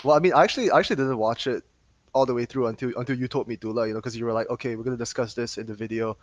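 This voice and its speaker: A young man from Malaysia co-hosting a podcast, talking fast in a casual, sarcastic way and laughing often. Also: medium pitch, no room sound, lo-fi sound. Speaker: podcast co-host. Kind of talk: casual chat.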